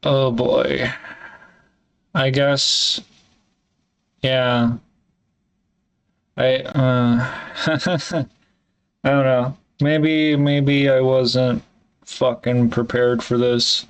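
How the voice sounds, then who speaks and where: A man in a candid solo vlog, thinking aloud in a halting, hesitant way and swearing casually. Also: mid-range voice, little pitch movement, clean tone, rough recording. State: congested. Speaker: vlogger.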